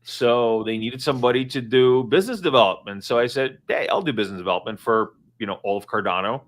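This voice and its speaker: A man's voice: medium pitch, quick pace. Man rambling through a home-office chat. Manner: rambling, many restarts and fillers. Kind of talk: casual live-stream chat.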